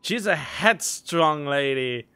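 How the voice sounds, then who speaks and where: A man giving casual, expressive gameplay commentary, talking in halting, emotional bursts. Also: on the high side, smooth. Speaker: game streamer. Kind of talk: casual reacting commentary over a game.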